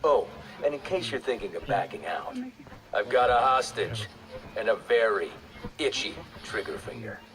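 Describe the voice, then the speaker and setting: An actor playing a cocky, coldly taunting assassin in a video game, speaking with mocking menace over a radio. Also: male voice, medium pitch, lively intonation, normal speed. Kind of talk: video game villain acting. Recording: distant, noisy radio transmission.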